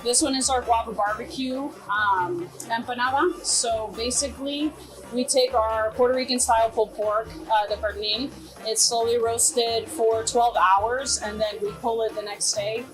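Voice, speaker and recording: A woman's voice: on the high side, steady tone, moderate pace, rough recording. A young American woman chatting casually through a cooking demonstration as a chef, informal, slangy and enthusiastic. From Greensboro and High Point, North Carolina, United States. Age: young adult. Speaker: food truck chef.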